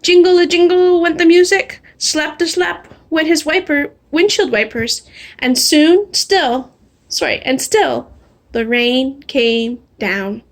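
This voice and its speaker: A woman reading a children's storybook aloud in an animated, expressive way. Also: high-pitched. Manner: animated, drawing out refrains slowly. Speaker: storybook reader. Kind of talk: expressive read-aloud of a children's picture book.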